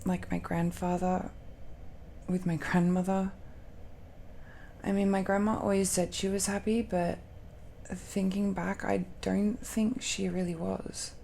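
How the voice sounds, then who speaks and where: A woman's voice: mid-range voice, flat delivery, unhurried, creaky, long pauses, mellow, slight background noise. A woman playing a tender, possessive dragon-descended girlfriend in an intimate romantic audio roleplay, speaking hesitantly and breathily, often near a whisper. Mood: earnest, hesitant.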